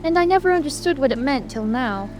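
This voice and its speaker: A woman's voice: high voice, lively intonation, fast, music in the background. A woman playing a curious, polite but spirited young girl in a storybook dramatization. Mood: musing. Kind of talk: dramatized audiobook.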